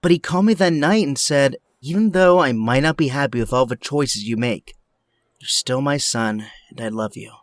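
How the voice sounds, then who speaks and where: A man's voice: mid-range voice, normal speed, no room sound, studio quality, natural voice. A man playing an upbeat, supportive young superhero friend in an audio drama, talking in an encouraging way. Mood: friendly, encouraging. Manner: encouraging, animated.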